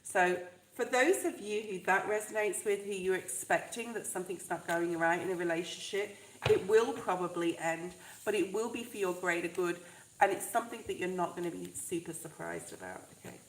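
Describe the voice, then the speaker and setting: A woman with a stuffed-up, fluey voice, chatting warmly and reassuringly to viewers during a live tarot reading. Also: fairly low pitch, moderate pace, roomy sound, low-quality recording. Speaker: tarot reader.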